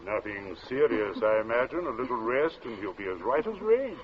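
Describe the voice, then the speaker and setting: An actor playing a genial, smoothly dissembling middle-aged gentleman in an old radio drama, hemming affably. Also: mid-range voice, sing-song, moderate pace, lightly acted. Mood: genial, soothing. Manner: affable, hemming, affectedly casual. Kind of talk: golden age radio drama acting. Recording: dull, hissy old radio recording.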